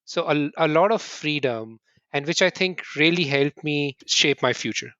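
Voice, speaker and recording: Earnest Indian-born man, slightly high voice. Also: sharp tone, no echo, muffled recording. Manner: earnest, quick bursts with repeated restarts and "right?" tags. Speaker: podcast guest. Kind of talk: conversational interview answers.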